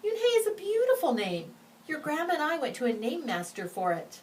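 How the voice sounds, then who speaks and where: A woman's voice: high voice, huge pitch swings, brisk, pitched above the performer's own voice. Woman playing a caring, firm storybook mother. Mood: reassuring, firm. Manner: encouraging, instructive. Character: caring, firm Korean mother, proud and encouraging. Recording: distant microphone, background noise.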